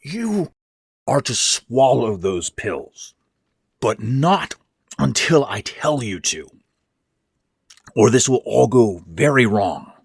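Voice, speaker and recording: A man's voice: medium pitch, very animated, takes it slowly, gravelly, long pauses, slightly rough, no echo, studio quality, full character voice. A man voicing an exhausted, sick old man in a horror story, irritable and commanding, with hissing, growling, emphatic delivery. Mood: grimly insistent. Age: older. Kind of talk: dramatic horror fiction reading.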